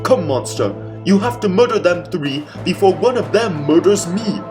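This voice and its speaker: A man acts out a fussy, scheming lord of the manor in a radio mystery spoof. His voice sits fairly high and swings widely, with theatrical exclamations and self-important airs, over music. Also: expressive, normal speed. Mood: anxious scheming. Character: fussy, melodramatic, self-important villainous master of a mansion. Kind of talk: old-time radio murder mystery comedy acting.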